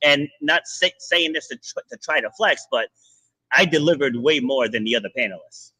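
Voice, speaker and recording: A man hosting a podcast, a fitness coach chatting casually and telling stories in an animated way. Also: mid-range voice, moderate pace, breathy edge, no room sound, rough recording. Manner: animated storytelling, many restarts. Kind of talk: casual interview chat.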